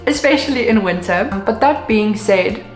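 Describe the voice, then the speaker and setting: A woman's voice: medium pitch, expressive, moderate pace, reverberant, faint music behind. A woman in her twenties from South Africa with a South African accent, a native Afrikaans speaker, chatting upbeat to camera in a vlog. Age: 20s. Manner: chatty and upbeat, with frequent ums. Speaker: vlogger. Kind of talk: casual vlog talk to camera.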